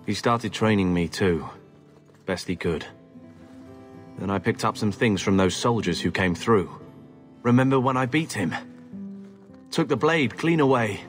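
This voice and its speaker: An actor playing a plainspoken, wistful soldier brother in a naturalistic video game scene, speaking in clipped phrases. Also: male voice, fairly low pitch, animated, a little slow, long pauses, faint music behind. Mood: wistful, brooding.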